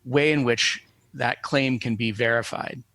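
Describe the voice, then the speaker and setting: A man giving explanatory interview answers as an academic guest, in measured, scholarly phrasing. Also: mid-range voice, steady tone, pushed and bright, no echo.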